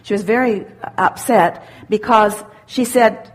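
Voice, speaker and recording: A woman teaching interactively as a seminar speaker, emphatic and deliberate, repeating phrases and posing questions. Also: mid-range voice.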